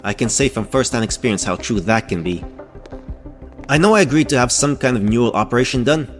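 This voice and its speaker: A man's voice: mid-range voice, brisk, soft background music, natural voice. An actor playing a willing, resigned male scientist in an audio drama, speaking in a reserved, formal way. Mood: resigned, earnest.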